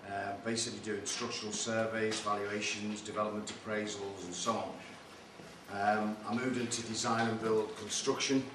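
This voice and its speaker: A man's voice: lowish voice, flat delivery, normal speed. A man from Liverpool giving a talk as guest speaker, plain with ums between phrases. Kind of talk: talk at a meeting. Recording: echoey hall, distant, noisy background.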